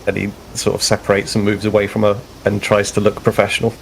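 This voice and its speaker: Low, creaky-voiced man. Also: monotone, fast, slight background noise, poor audio. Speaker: tabletop roleplay player. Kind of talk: casual improvised tabletop roleplay chat.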